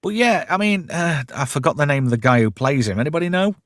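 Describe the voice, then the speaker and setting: A man's voice: on the high side, big pitch swings, quick pace, dry recording, clean studio sound. A British man hosting a pop culture show, recapping casually in sweary, irreverent slang.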